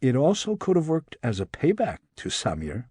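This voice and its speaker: A man voicing a sharp, exasperated female federal agent in an audiobook, scolding and sarcastic. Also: medium pitch, wildly swooping pitch, normal speed, breathy edge, no room sound, clean studio sound. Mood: measured. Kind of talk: audiobook character dialogue.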